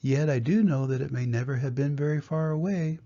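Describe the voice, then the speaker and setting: An older man voicing a calm, wise desert chief in a storybook, with measured, plain delivery. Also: mid-range voice, lightly acted. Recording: dull, muffled lo-fi sound.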